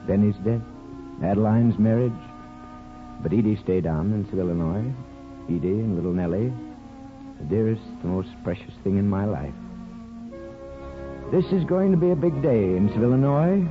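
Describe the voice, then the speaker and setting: An actor playing a gentle, folksy small-town barber and grandfather in a 1950s radio drama, measured and reflective. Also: fairly low pitch, lightly acted. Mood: wistful reminiscence. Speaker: lead actor and narrator. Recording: muffled 1950s radio broadcast, music behind.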